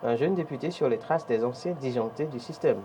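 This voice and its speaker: A man's voice: medium pitch, warm tone, slight background noise, low-quality recording. A man with a Beninese French accent hosting a morning TV press review, reading headlines and prompting his guest. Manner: brisk presenter, reads headlines, frequent mhm. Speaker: host.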